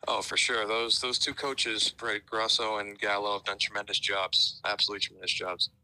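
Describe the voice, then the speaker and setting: A man's voice: fairly low pitch, flat delivery, moderate pace, bright, forceful tone, dry recording, rough recording. A young man calling in to a sports radio show, speaking eagerly and emphatically. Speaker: caller, college sports fan.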